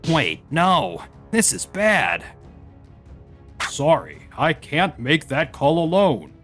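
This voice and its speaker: An actor playing a smug, blustering company director who is prone to panic, in a manga dub. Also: male voice, a little high, huge pitch swings, slow pace, a bit bright, faint music behind, full character voice. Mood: panicked.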